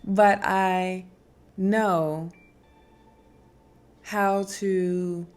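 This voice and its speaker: A woman talks through spiritual advice at a slow, easy pace, circling back to her points with fillers and restarts. Her voice is strong and loud, sitting at a middle pitch for a woman. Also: fairly even intonation, clean tone. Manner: unhurried, repetitive, emphatic, with fillers and restarts. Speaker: spiritual wellness host. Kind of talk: casual spiritual advice talk.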